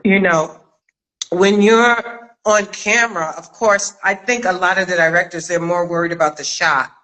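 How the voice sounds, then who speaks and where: A woman with a bright, forceful voice hosts a relaxed, conversational interview. She speaks emphatically and directly to her guest, with the authority of a theater director talking craft. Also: fairly low pitch, normal speed, poor audio. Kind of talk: casual interview chat about acting craft.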